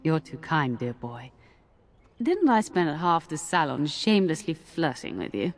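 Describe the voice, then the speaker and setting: Flirtatious noblewoman, low-pitched. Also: very animated, unhurried, heavily acted. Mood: playful, gracious. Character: flirtatious, gracious visiting noblewoman, middle-aged mother. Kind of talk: scripted game voice acting. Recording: music under the voice.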